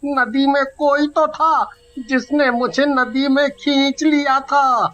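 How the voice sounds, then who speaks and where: A high, panicky village man, acted out by a male narrator, earnest and stammering. He speaks slowly in a horror cartoon, the sound a little dull with music behind. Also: little pitch movement, a bit bright, exaggerated voice. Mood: pleading, insistent. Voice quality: high-pitched.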